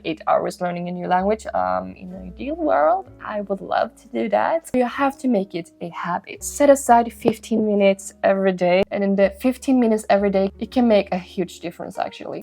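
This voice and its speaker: Mellow, gravelly young Swedish-native woman. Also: medium pitch. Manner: earnest and encouraging, repeats her advice. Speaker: language-learning video host. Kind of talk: motivational talk to camera.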